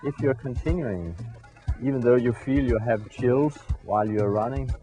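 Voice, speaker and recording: Male speaker, dark mellow tone, grave and cautionary. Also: medium pitch, moderate pace, soft background music. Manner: serious, warning.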